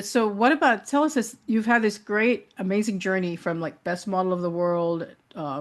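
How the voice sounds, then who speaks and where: A woman hosting an interview show, introducing her guest in a chatty way with many fillers and restarts. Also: mid-range voice. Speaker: talk show host.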